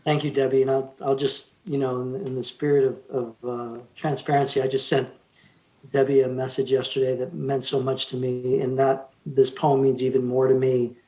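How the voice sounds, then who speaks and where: A man hosting an event and introducing speakers informally, with halting phrasing. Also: mid-range voice, flat delivery, warm tone, highs cut off. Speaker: event host.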